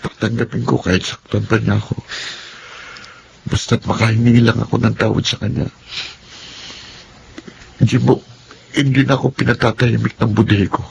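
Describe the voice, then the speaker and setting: A man with a Filipino accent playing a contrite wrongdoer who pleads for forgiveness in a radio drama, his voice gravelly. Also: medium pitch, flat delivery, moderate pace, pauses often, a little mellow, slight background noise, poor audio. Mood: humble, insistent. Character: remorseful man begging forgiveness from the woman he wronged.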